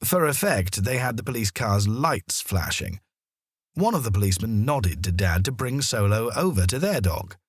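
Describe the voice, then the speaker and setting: A man narrating a children's story in an expressive storytelling manner, with deliberate pauses. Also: lowish voice, slightly rough, no room sound, clean studio sound. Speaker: audiobook narrator.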